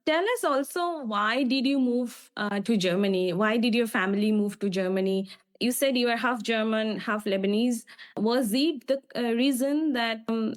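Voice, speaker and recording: A woman hosting a podcast, reading an introduction and asking questions in an earnest, measured way. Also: on the high side, echoey room. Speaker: podcast host. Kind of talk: scripted intro then interview questions.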